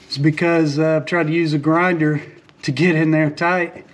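A man narrating his boat repair as he works, in a relaxed, plainspoken way with many "uh" fillers and restarts. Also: fairly high pitch, moderate pace, slight background noise. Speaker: boat refit vlogger. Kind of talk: casual how-to narration while working. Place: outdoors on a sailboat deck.

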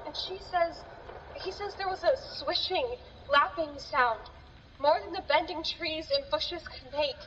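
A woman acts a worried country villager in a horror radio play, with a very high voice and urgent, folksy telling. It rings in a hall, slightly dulled and a bit noisy. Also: a bit bright. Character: anxious rural villager relaying frightening eyewitness news. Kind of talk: horror radio drama acting. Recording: muffled, echoey hall with faint noise.

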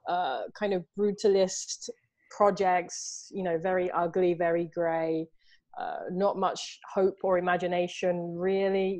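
Woman, thirties, lowish voice, casual animated talk, video call from home. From Southeast London, England. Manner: animated, gestures a lot, many fillers like "you know". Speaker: artist guest. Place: home room with books and artwork behind. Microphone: video call, earphones.

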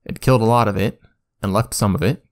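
A man giving a casual software tutorial as a YouTuber, informal and self-deprecating, with the odd casual swear word. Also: fairly low pitch, fast talker.

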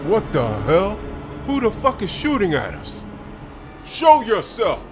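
A man voicing a gruff, blunt, irritable survivor and father in a comic dub. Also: high-pitched, wildly swooping pitch, measured pace, noisy background, full character voice. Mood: angry, alarmed. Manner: blunt, profane. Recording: loud music bed under the voice.

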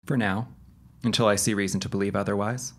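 A man plays a police detective in a radio drama. He is formal and measured, questioning patiently with a skeptical, official air. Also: lowish voice, fairly even intonation, close to an everyday voice. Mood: measured, probing. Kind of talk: audio drama acting.

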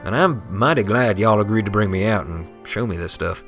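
A man's voice: on the low side, animated, fairly quick, a bit bright, soft background music. Man doing a folksy cowboy stallion. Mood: curious. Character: friendly folksy cowboy stallion, curious and plain-spoken.

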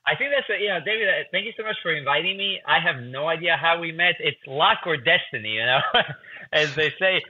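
A man's voice: a little high, expressive, brisk, slightly rough, no room sound. A man in his thirties with a Croatian accent, a guest researcher answering interview questions in a chatty way with many fillers and repeats, over a video call from a room with a whiteboard. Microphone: video call earbuds. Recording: tinny phone-like call audio, dry.

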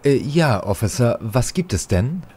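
An actor playing a nervous, evasive young radio playwright who stammers and hesitates, in a German audio drama. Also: male voice, medium pitch, big pitch swings, measured pace, a little mellow, slight background noise. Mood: feigned innocence. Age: young adult.

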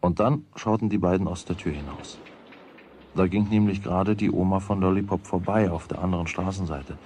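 An actor narrating a children's radio play as a wry storyteller with a husky voice. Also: male voice, sits low, brisk, a little mellow, slight background noise, natural voice. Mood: wry, matter-of-fact.

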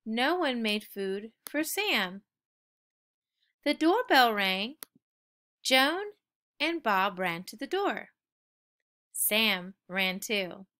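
Lady doing a kids read-aloud, highish bright voice, takes her time, really expressive. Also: drawn-out words, pauses often. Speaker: children's story reader.

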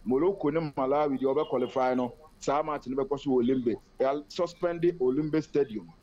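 A man reports live by phone for a sports radio show, moving between Twi and English. His voice is mellow and dark, with a middle pitch. The sound comes through a dull, muffled phone line. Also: husky. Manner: fast, fluent report mixing Twi and English.

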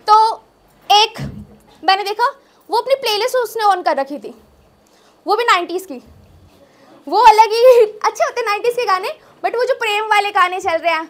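Very high-pitched young woman doing stand-up. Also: fairly bright tone. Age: young adult. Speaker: stand-up comedian. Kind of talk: observational stand-up comedy. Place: small indoor open-mic stage. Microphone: handheld microphone.

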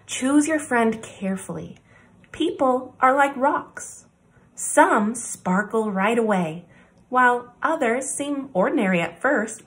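Woman, children's read-aloud narrator, fairly high pitch, unhurried pace, expressive phrasing with pauses. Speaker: children's story time presenter.

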